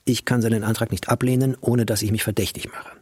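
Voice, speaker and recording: An actor playing a burdened, pleading party secretary in a restrained German radio drama, with a husky voice. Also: male voice, on the low side, fairly even intonation, quick pace, a little mellow, dry recording, studio quality. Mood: troubled, pleading.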